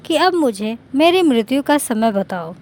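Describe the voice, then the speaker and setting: A woman's voice: high voice, big pitch swings, measured pace, darkish tone. A woman narrator voicing an angry, threatening king in a storybook tale. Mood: angry, demanding.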